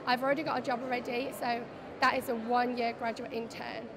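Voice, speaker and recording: Young female job seeker, slightly high register, informal interview, crowded venue. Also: flat delivery, fairly quick. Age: young adult. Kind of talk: spontaneous interview answer. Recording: loud crowd behind.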